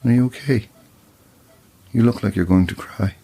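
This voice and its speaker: A man playing a protective, plain-spoken boyfriend in an audio drama, with a husky voice. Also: on the low side, moderate pace, warm tone. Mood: concerned. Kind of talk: dramatic audio fiction acting.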